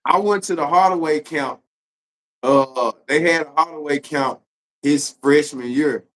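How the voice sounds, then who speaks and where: A man's voice: fairly high pitch, measured pace, fairly bright tone, rough recording. A man with a Memphis Southern accent hosting a casual interview chat, responsive, with frequent short affirmations. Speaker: live-stream host, interviewer.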